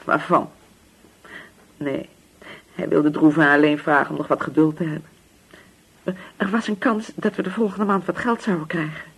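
Poor grieving widow, husky voice, anxious, hesitant, submissive, radio play. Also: female voice, low voice, steady tone, moderate pace, long pauses, full character voice. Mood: subdued, sad. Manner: hesitant, repeating words, submissive. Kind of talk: radio drama acting.

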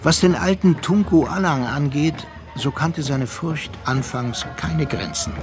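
An actor playing a reflective storyteller, narrating a literary radio drama in a measured, articulate manner. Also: male voice, a little high, natural voice. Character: reflective male storyteller recounting a colonial adventure. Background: prominent music.

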